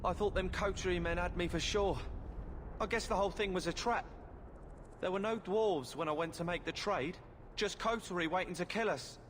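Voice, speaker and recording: A man's voice: sits high, moderate pace, natural voice. A man playing a scared, earnest fifteen-year-old boy with plain rustic speech in a fantasy video game. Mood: shaken. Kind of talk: video game cutscene acting. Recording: clear ambient game noise behind.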